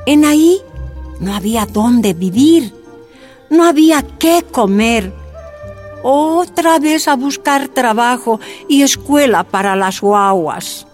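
High-voiced Bolivian mother recounting hardship. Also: lively intonation, slow, darkish tone, soft background music. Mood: sorrowful recollection. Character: miner's wife recalling hardship, mother of four. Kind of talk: first-person testimony in radio drama.

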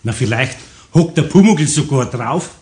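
An older Bavarian master craftsman played by a man, kindly and fond of teasing. His voice swings widely in pitch as he speaks in homely dialect, in the style of a children's radio drama. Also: animated, moderate pace, a little mellow, close to an everyday voice. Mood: amused, teasing. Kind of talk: German children's radio play acting.

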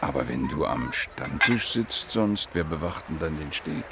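A man's voice: extremely low pitch, animated, a little slow, heavy background noise, heavily acted. An actor playing a married economist in his mid-thirties, wry and evasive, speaking in clipped phrases in a radio play. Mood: dry flirting. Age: middle-aged.

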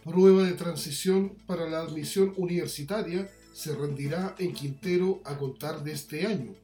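A man's voice: fairly high pitch, moderate pace, dark tone. A man reading scripted news headlines as a presenter. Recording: echoey voice over music bed.

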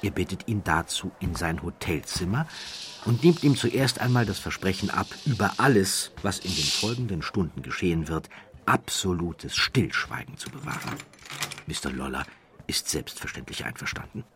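A man reading a literary audiobook as a scripted narrator, with measured, deliberate phrasing and dramatic pauses. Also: low voice, lively intonation, background noise.

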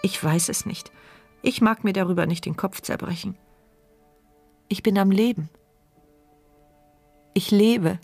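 An actress playing a tender, resilient woman who narrates her inner thoughts in a German radio crime drama, reflective, intimate and measured. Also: medium pitch, vocal fry, darkish tone, soft background music. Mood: reflective, hopeful.

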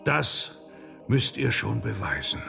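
An actor playing a determined, probing monk who investigates a crime in a historical radio drama, in a gravelly voice. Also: male voice, medium pitch, big pitch swings, takes it slowly, pushed and bright, full character voice. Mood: probing, stern. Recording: music behind the voice.

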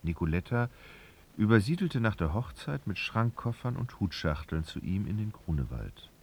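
A man narrating a literary radio drama in a husky voice, with dramatic, sardonic storytelling. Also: on the low side, very animated, normal speed, darkish tone, slight background noise.

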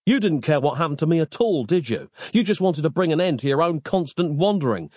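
A man's voice: fairly high pitch, sing-song, measured pace, darkish tone, no echo, clean studio sound, voice pushed up. A man playing an irreverent modern man in a storybook, exasperated and protesting in blunt colloquial speech. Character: exasperated, irreverent modern man trapped in his own mind.

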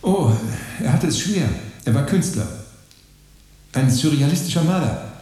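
Awkward young immigrant, radio drama, slightly high voice, mellow, heavy echo. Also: male voice, expressive, unhurried. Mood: evasive, reflective. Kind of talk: German radio drama acting. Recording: very echoey room, music behind.

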